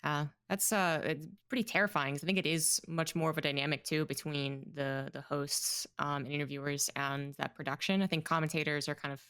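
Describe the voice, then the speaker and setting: A woman's voice: deep voice, fairly even intonation, a little fast, hardly any pauses, a bit bright, clear, resonant tone, dry recording, clean studio sound. A woman esports commentator hosting an interview over a video call, thinking aloud in a reflective way through a headset. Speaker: esports commentator, podcast host. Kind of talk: casual interview chat. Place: small room at home.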